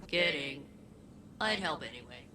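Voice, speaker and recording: An actress playing a chipper, socially awkward artificial intelligence in a video game, perky with dry deadpan asides. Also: female voice, rumbling low, a little slow, background noise. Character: quirky chipper female ship AI, socially awkward. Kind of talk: video game character dialogue.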